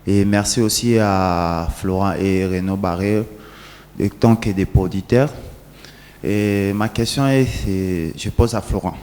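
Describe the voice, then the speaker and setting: Guy asking the panel a question, kinda low mellow voice, polite, echoey room. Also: slow pace. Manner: polite and formal, thanks before asking. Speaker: independent artist asking a question. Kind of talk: audience question at a conference. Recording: echoey hall.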